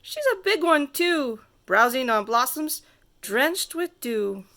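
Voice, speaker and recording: A woman reading a rhyming picture book aloud to children in a theatrical, sing-song way with drawn-out emphasis. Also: sits high, big pitch swings, pushed and bright. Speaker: storybook reader.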